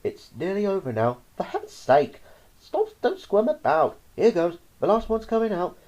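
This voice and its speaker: Woman playing a gleeful, pompous male dentist, swooping pitch. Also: huge pitch swings, moderate pace, mellow. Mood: impatient. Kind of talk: theatrical verse storytelling.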